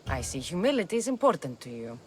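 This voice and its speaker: A tough young female mercenary in a video game, speaking with a Greek accent. She is wry, dry and self-assured, and her pitch moves in an animated way. Faint ambient noise sits under the dialogue. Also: fast. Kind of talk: video game cutscene acting.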